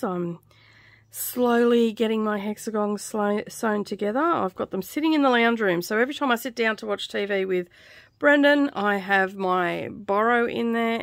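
A middle-aged woman hosting a craft vlog in casual chat, with a slightly croaky, scratchy voice, dry and tired after illness. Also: mid-range voice, no room sound. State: recovering from covid, tired, dry throat. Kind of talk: casual stitch-along chat. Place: small indoor craft room.

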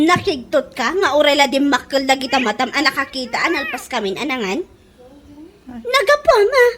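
A woman's voice: high voice, sing-song, normal speed, a bit bright. A woman playing a nagging, suspicious middle-aged village wife in an Ilocano comedy radio drama, whiny and scolding with a Filipino Ilocano accent. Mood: exasperated.

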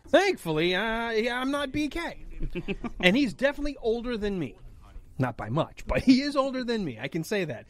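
A man's voice: extremely high pitch, sing-song, normal speed, soft background music. A man in his fifties guest hosting a radio talk show, joking and reading out entertainment news. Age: 50s. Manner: jokey, reads news items aloud.

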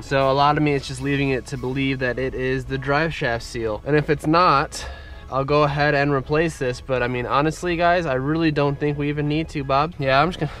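Congested young dude vlogging his car build, head cam outside, all hyped up, bit of music behind. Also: mid-range voice. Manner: excited, chatty, addresses viewers directly. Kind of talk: casual point-of-view vlog. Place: outdoors in a driveway, working in a car. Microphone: head-mounted action camera.

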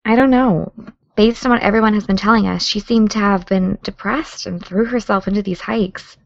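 A woman playing a determined, sardonic teenage podcaster in an audio drama, with a dry, brisk delivery. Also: medium pitch, vocal fry, no room sound, dull audio, natural voice. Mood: focused, matter-of-fact. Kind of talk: naturalistic audio drama dialogue.

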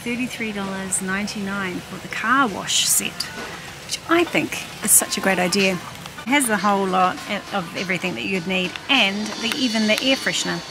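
A woman's voice: medium pitch, normal speed, music in the background. A woman, chatting to the camera while shopping and reading out prices as she goes. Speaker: vlogger. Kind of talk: shop-with-me vlog narration. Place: large warehouse store, indoors. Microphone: handheld phone camera. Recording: noisy warehouse store ambience. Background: store ambience.

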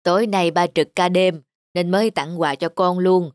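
A woman playing a doting older father in a cartoon drama, affectionate and gently teasing. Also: lowish voice, fairly even intonation, normal speed, natural voice. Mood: caring. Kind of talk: animated cartoon drama acting.

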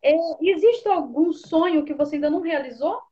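High-voiced woman as an eager interviewer. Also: expressive, brisk, dark tone, lo-fi sound. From Brazil. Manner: admiring, eager, reads out viewer questions. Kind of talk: live online interview.

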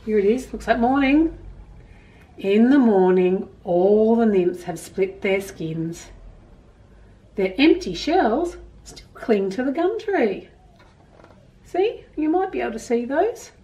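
Lively Australian woman reading to children. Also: fairly high pitch, slow, pauses often, far from the mic. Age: middle-aged. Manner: expressive, emphatic storytelling for young listeners. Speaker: storytime presenter. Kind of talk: picture-book reading and explaining to children. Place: small indoor room at home with bookshelves.

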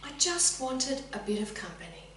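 A woman's voice: high-pitched, big pitch swings, normal speed, a bit bright, voice pushed up. Woman reading as a kindly lonely neighbour, echoey. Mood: small, wistful. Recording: very echoey room, distant mic, faint hum.

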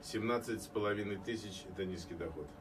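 A middle-aged male radio host with a lowish voice cuts in with quick questions and wry remarks. He is heard from across a small studio, with a steady hiss underneath. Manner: brisk interjecting questions, jokey asides. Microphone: headset and studio mic, captured by far camera. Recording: distant camera audio with background noise.

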